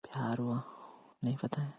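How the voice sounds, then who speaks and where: A man playing a teasing, romantic, mildly demanding husband in a casual, coaxing at-home conversation, with a husky voice. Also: mid-range voice, little pitch movement, normal speed, no room sound. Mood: teasing, affectionate. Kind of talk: intimate Hindi role-played couple conversation. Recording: muffled, lo-fi, dry call-style recording.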